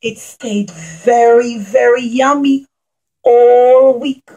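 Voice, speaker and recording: A woman's voice: fairly high pitch, steady tone, extremely slow, warm tone. A woman storyteller presenting a puppet show for young children, speaking in simple short phrases and addressing them directly.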